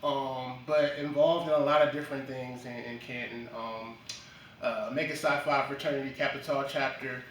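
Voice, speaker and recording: A man from Jamaica, a guest in a casual interview, speaking in a measured way with frequent ums and uhs. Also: medium pitch, steady tone, fairly bright tone, a little hiss or hum. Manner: measured, many ums and uhs, lists achievements. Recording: echoey room from a distance.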